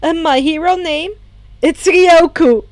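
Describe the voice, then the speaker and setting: A woman's voice: extremely high pitch, slow, darkish tone, no room sound, pitched above the performer's own voice. Woman voicing an earnest, flustered teenage boy. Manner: hesitant, stammering, protesting.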